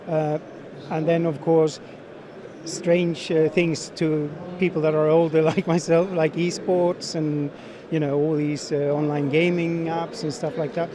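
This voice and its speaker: A middle-aged man giving unscripted interview answers as a sales manager, speaking in halting phrases with frequent repeats. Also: on the high side, level tone. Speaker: sales manager being interviewed at a trade show. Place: busy exhibition hall. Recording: busy trade show crowd noise.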